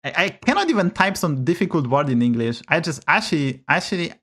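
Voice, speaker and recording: A man's voice: on the high side, expressive, brisk, a bit bright, husky. A man with a Polish accent, a native Polish speaker, giving blunt, swear-heavy casual commentary on a game stream from a home setup. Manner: blunt, swears often, rants about bugs.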